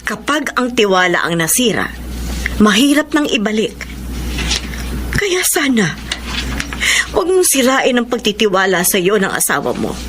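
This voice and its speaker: A woman's voice: medium pitch, very animated, measured pace, pauses often, noisy background. An actress playing a concerned older mother admonishing her grown daughter in a Filipino radio drama. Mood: stern, pleading. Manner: earnest, admonishing.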